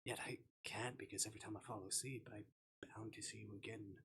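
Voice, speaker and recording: A man reading aloud the part of a teasing, brooding young man in a visual novel, in a soft, understated delivery. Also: fairly low pitch, steady tone, brisk, gravelly, slight background noise, pitched below the performer's own voice. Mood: quiet, troubled.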